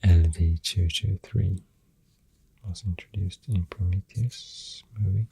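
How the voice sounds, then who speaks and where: A soft-spoken ASMR host with a Swedish accent, talking in a hushed, breathy, partly whispered voice, halting and gentle, close to the microphone. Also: deep voice, fairly even intonation, slight background noise.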